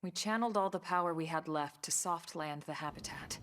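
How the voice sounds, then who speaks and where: A woman playing a serious, composed military officer in a video game, explaining things in a measured, matter-of-fact way. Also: fairly low pitch, a bit bright, slight background noise, lightly acted. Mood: grave, measured. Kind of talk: English video game cutscene acting.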